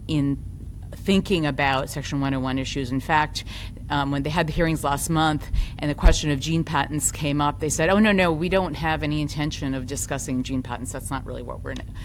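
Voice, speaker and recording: A loud-voiced woman lectures at a conference with a low-pitched voice and an articulate, lively delivery. She slips in self-deprecating asides, and faint noise sits under the recording. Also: moderate pace, low-quality recording. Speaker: law professor giving a talk.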